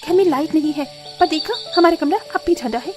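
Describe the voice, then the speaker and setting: Spoiled, scornful young city wife, played by a woman, very high voice, cartoon drama with music. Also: brisk, close to an everyday voice. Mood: disdainful. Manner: snobbish, scornful. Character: haughty, spoiled young city daughter-in-law. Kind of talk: acted cartoon story dialogue.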